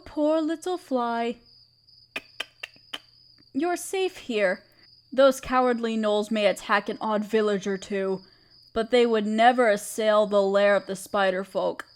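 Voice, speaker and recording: A woman playing a gentle, protective half-spider queen in a roleplay audio drama, speaking tenderly and soothingly to the listener. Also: a little high, slow, long pauses. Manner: tender, soothing, hesitant and coaxing. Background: chirping crickets.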